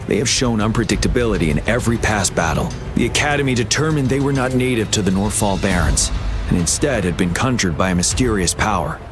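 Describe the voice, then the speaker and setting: Man acting as resolute game general, low voice, grave, formal, commanding, music behind. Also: natural voice. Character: stern, resolute male military general. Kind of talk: scripted game dialogue. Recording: background music.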